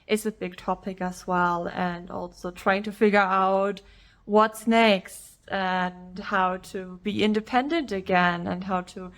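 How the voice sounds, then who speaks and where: A woman hosting a podcast, giving the introduction and asking long, carefully framed questions in a friendly, clear way. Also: mid-range voice, steady tone, slow pace. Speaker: podcast host.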